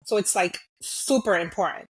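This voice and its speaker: A woman's voice: fairly low pitch, normal speed, slightly rough, low-quality recording. A young woman from New York, a guest in a casual interview, talking easily and laughing often. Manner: easygoing, laughs often, mixes in Spanish words.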